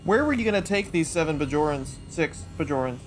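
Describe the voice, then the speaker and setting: Man acting a male starship officer, suspicious, blunt, tabletop game, higher pitch, faint noise. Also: animated, brisk, lightly acted.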